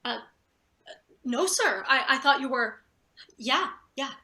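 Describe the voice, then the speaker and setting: An actress plays a ruthless office boss in a stage drama reading. Her voice is high-pitched and very bright, cutting and forceful. She is irritable and bullying, pressing hard with curt phrasing. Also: expressive, a little slow, heavily acted. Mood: flustered, placating. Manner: curt, pressing, stammers deferentially to superiors. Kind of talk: live theatre table read.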